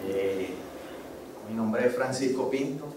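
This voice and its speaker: A middle-aged man with a Venezuelan accent gives an informal presentation in a halting way, often adding little tags to check he is followed. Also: medium pitch. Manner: halting, with frequent tag checks. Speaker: course participant presenting, marine geology researcher. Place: medium-sized indoor seminar room. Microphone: handheld microphone through PA, picked up by far camera. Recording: echoey room, distant camera microphone, noisy.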